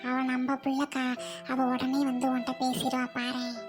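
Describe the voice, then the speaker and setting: A loyal young village girl played by a woman in a village drama. Her voice is extremely high, and she talks as a kind-hearted peacemaker, reasoning and pleading in everyday speech. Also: completely flat delivery, normal speed, music in the background, lightly acted. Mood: soothing, reasoning. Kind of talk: village comedy-drama acting.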